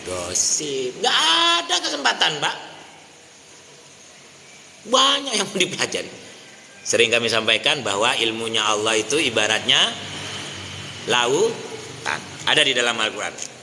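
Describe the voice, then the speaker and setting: Bright-voiced preacher in a reverberant hall. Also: male voice, fairly high pitch. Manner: addresses listeners as Pak, asks the crowd questions; chants Quranic verses slowly. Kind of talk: mosque sermon. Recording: hall reverb, faint noise.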